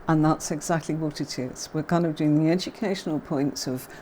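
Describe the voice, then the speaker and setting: Deep-pitched British woman, neutral accent, chatty livestream tarot teacher, faint noise in background. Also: fairly quick. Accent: neutral southern English, faint Yorkshire. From Yorkshire, England. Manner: chatty, warm greetings to viewers, many fillers. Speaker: tarot reader hosting a livestream.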